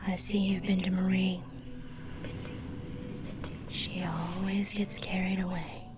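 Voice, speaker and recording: A woman performing an ASMR roleplay as a weary, drily unimpressed spooky doctor, whispering softly. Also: medium pitch, little pitch movement, slow, noisy background, lightly acted. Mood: dry, measured. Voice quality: soft whisper. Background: music under the voice.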